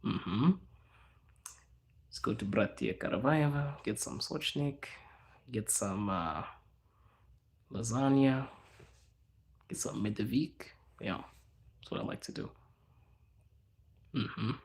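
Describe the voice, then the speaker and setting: A young man hosting a casual livestream, answering chat in a hushed inside voice because people are around him. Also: on the low side, expressive, takes it slowly, long pauses. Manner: speaking quietly in an inside voice, laid back. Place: out in public in a city, people around.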